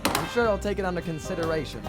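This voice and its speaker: An actor playing a cocky private investigator hero in an old-time radio drama, with wry, deadpan, heroic delivery. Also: male voice, sits high, fast talker, loud music behind the voice, lightly acted. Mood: smug, commanding.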